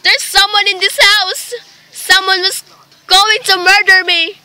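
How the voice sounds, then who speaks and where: A woman's voice: very high-pitched, little pitch movement, a little slow, pushed and bright, heavily acted, poor audio. A woman playing a nervous, demanding invalid wife in a dramatic radio play. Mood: terrified. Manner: imperious, dramatic, fretful.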